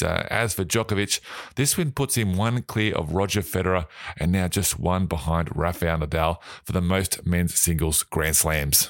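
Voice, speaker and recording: Deep croaky aussie guy reading sports news, dry close mic, laughs a lot. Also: no room sound. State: croaky voice from a sleepless night. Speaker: sports news podcast host. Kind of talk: scripted sports news chat.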